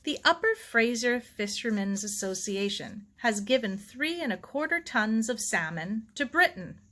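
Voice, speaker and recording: A woman narrator puts on an older, opinionated storybook uncle who grumbles through his newspaper. The delivery is animated and gruff, with wide swings of pitch. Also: unhurried. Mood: grumbling.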